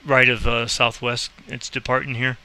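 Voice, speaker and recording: A man's voice: mid-range voice, level tone, moderate pace, sharp tone. A man giving a casual, rambling game tutorial, thinking aloud as he explains and making air traffic controller calls.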